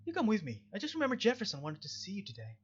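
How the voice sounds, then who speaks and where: Guy doing a bossy young lady cop in a game, voice pushed up high, very up and down. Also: wildly swooping pitch, racing, husky, muffled recording, full character voice. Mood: brisk. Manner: high-pitched, insistent. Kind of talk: visual novel dialogue read aloud.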